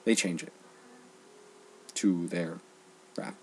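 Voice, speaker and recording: A young man giving an earnest, exasperated, unscripted rant, speaking emphatically with halting pauses in a husky, gravelly voice. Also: deep voice, a little mellow. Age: teens to young adult. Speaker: online ranter.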